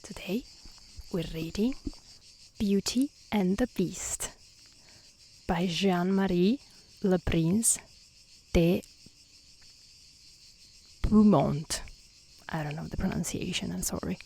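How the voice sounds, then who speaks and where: A woman with an Italian accent speaking softly and calmly in an ASMR style, choosing her words carefully as a non-native speaker, outdoors. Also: fairly low pitch, takes it slowly, creaky, pauses often, husky. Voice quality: soft, gentle voice. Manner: calm, careful delivery in non-native speech. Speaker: streamer and storyteller. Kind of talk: soft-spoken ASMR reading and chat. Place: outdoors in nature near mountains.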